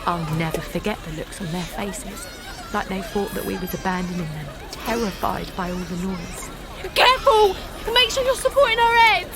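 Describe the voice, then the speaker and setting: A woman's voice: medium pitch, sing-song, normal speed. An actress playing an anxious young working-class mother, narrating and speaking colloquially in an audio drama. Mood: distressed. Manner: emotive, colloquial. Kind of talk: audio drama acting and first-person narration. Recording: outdoor crowd noise behind.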